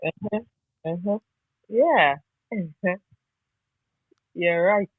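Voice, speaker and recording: A woman's voice: lowish voice, slow pace, breathy edge, no room sound. Over a narrow, phone-like line with little room sound, a Ghanaian woman shares memories in a conversational way. She is nostalgic and often repeats a phrase to underline it. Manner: nostalgic, emphatic repetition. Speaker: interviewee, former radio newsreader. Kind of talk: conversational, reminiscing interview.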